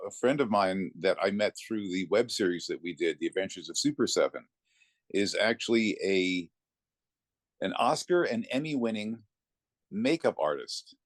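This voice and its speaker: Low-voiced middle-aged man chatting casually. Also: expressive, normal speed, no room sound. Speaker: interview guest, voice actor. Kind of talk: casual interview chat, telling anecdotes.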